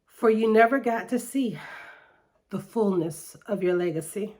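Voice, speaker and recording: A middle-aged woman and poet, speaking reflectively and reading her poems in a slow, deliberate way with pauses, a little nasal from allergies. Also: medium pitch, a little mellow. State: slightly nasal from seasonal allergies. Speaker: poet hosting her own segment. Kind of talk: reflective spoken monologue with poetry reading.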